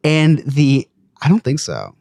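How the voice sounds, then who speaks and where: Casual podcast co-host, male, mid pitch, very animated delivery, dry close sound. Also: unhurried, gravelly, dry recording. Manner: animated, joking, trails off and restarts. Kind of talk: casual chat.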